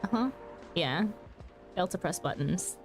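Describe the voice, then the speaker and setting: A woman's voice: lowish voice, expressive, unhurried, soft background music. A woman streamer in casual chat while gaming, laid-back, laughing often and swearing freely.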